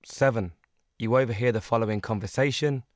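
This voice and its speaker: Male narrator, scripted exam read, mid pitch, dry close recording. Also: a little fast, no echo. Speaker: listening test narrator.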